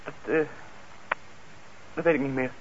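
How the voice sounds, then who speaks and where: A man's voice: mid-range voice, fairly even intonation, unhurried, close to an everyday voice. An actor playing a troubled young man on parole in a radio drama, hesitant and defensive, stammering when he is upset. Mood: cornered, reluctant. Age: young adult. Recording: dull, noisy old radio recording.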